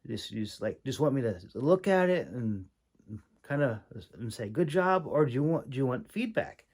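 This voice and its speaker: A man giving an informal, rambling critique as a host, speaking haltingly with long pauses and repeated words. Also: medium pitch, lively intonation, a little mellow. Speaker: host, independent fantasy writer and audiobook reader giving critique.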